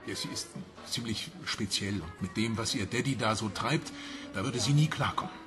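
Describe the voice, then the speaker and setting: A man's voice: fairly low pitch, moderate pace, music in the background, close to an everyday voice. An actor playing an aggrieved man in a crime radio play, bitterly and insistently justifying himself to his lover. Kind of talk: radio crime drama acting.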